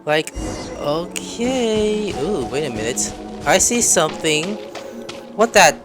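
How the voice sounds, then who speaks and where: An excitable game streamer doing let's-play commentary, exclaiming and muttering to themselves. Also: mid-range voice, slow, over music. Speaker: gameplay video host. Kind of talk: let's-play commentary, reacting and reading dialogue aloud.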